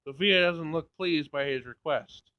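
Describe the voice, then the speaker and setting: A man's voice: on the high side, measured pace, clear, resonant tone. A man reading a visual novel aloud as dramatic narration on a gaming channel, in a screen recording. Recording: dull lo-fi screen recording, game music behind. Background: faint game music.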